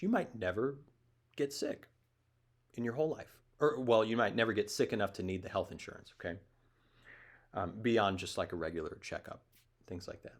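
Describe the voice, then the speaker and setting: A man in his thirties with a somewhat low voice talks off the cuff as the host of his own show. He digresses often and leans hard on his points. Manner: rambling, digressive, emphatic. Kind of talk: casual opinion monologue.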